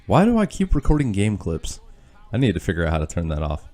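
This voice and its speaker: Deep-voiced man rambling with a stuffy nose. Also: wildly swooping pitch, fast, mellow. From Kansas. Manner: rambling, self-deprecating, lots of fillers. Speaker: gaming channel host. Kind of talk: rambling let's-play commentary.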